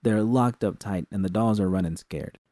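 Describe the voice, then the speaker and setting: A man voicing an excitable, earnest young woman technician in an audiobook story, with urgent, halting, slangy speech. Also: lowish voice, dry recording, clean studio sound, natural voice.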